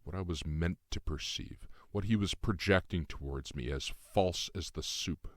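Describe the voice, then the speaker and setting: A man narrates literary fiction in the first person, as an author reading his own book. His voice is low. The recording is dry and close, free of room sound. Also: moderate pace, no echo.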